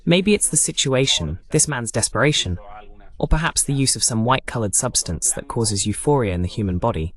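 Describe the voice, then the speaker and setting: A man's voice: mid-range voice, animated, a little fast, fairly bright tone. A man hosting a political commentary broadcast, talking in a sardonic, digressive way. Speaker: host of political analysis broadcast. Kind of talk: political commentary, English voice-over of a live talk.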